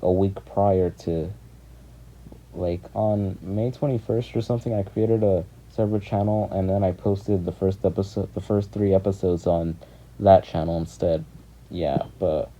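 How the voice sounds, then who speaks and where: A man's voice: low voice, warm tone. A man talking casually to his viewers as a video creator, rambling hesitantly and often repeating himself. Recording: muffled lo-fi mic with clear background noise.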